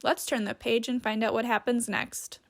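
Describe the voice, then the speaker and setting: A woman reading a storybook aloud in a deliberate, instructive teacher's manner, pausing to ask questions. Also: fairly high pitch, little pitch movement.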